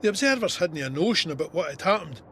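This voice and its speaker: A Scottish soldier telling his war story in a radio drama. His voice sits a little high for a man, measured and plain-spoken, with some noise behind him. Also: animated, fairly bright tone. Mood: tense. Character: soldier Sandy recounting his Gallipoli service, plain-spoken and vivid. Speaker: narrator. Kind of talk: scripted first-person war memoir narration.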